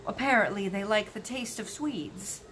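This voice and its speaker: A woman voicing a commanding, unflappable storybook queen in a brisk, matter-of-fact manner. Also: mid-range voice, animated, fairly bright tone, slight background noise, close to an everyday voice.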